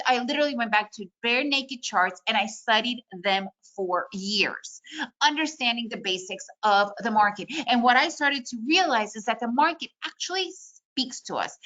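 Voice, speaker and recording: A woman with a bright, forceful voice teaching day trading in a webinar. She is a native Russian speaker who grew up in the United States. Her talk is chatty and upbeat, pitched a little high, and full of "you know" and "right?". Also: poor audio. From Soviet Union, raised in the United States.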